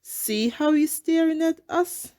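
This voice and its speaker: A woman's voice: high-pitched, slow, dark tone, voice pushed up. A woman voicing an irritable, suspicious male fish in a children's storybook, urgent and accusing.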